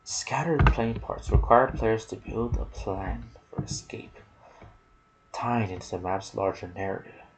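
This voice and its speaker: Low, gravelly ASMR man. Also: unhurried, noisy background. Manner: soft-spoken, sometimes dropping to a whisper. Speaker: gaming video host. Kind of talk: ASMR gaming commentary, reading trivia with asides.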